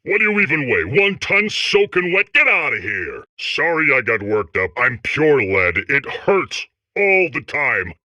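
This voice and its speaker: A man's voice: medium pitch, sing-song, a little slow, hardly any pauses, pushed and bright, no room sound, muffled recording, exaggerated voice. A man voicing a boastful, dim, talking lead core in a video game, with slangy, blustering, rambling speech. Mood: incredulous, mocking, then self-pitying, boastful. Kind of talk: comedic video game character acting.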